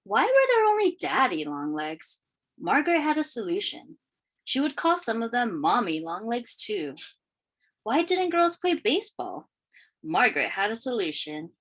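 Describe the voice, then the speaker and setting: A woman reading a children's picture book aloud in an expressive, deliberate storytelling manner. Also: fairly high pitch. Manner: expressive, questioning lifts and deliberate phrasing. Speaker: read-aloud storyteller, teacher.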